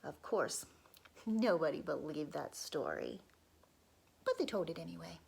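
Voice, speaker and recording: A woman reading a folk tale aloud to children, pausing to ask them questions and dropping into whispers. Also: low-pitched, lively intonation, vocal fry, a little mellow, slightly rough. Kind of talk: children's read-aloud storytelling.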